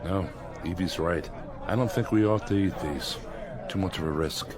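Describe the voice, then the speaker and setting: An actor playing a weary, sardonic survivor with a wry, commanding manner in a radio drama. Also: male voice, deep voice, normal speed, crowd noise, lightly acted. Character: weary, sardonic male survivor, cautious authority figure.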